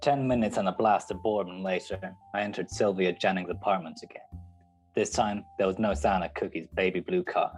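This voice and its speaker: A man's voice: low-pitched, a little fast, a little mellow, soft background music, close to an everyday voice, rough recording. A man playing a hard-boiled private detective in a noir radio play, sardonic and world-weary. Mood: terse, moody. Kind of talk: 1940s noir radio narration and dialogue.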